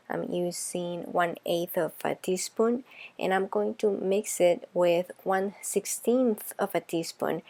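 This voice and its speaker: A woman with a Salvadoran Spanish accent narrates a step-by-step craft tutorial. Her voice sits a little low and has a noticeable creak. She sounds like a maker presenting her own scripted how-to. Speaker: craft video host, soap maker.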